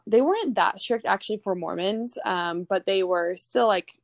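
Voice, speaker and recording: A woman's voice: mid-range voice, moderate pace, creaky, dry recording, clean studio sound. A young woman who is a podcast guest, telling her story in a chatty way with many fillers. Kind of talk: casual chat.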